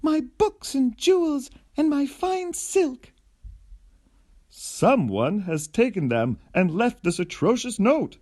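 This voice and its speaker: A man plays an indignant storybook queen in great distress. The voice leaps very high and shrill, swooping as she exclaims. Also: big pitch swings, slow pace, darkish tone, heavily acted. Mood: distraught.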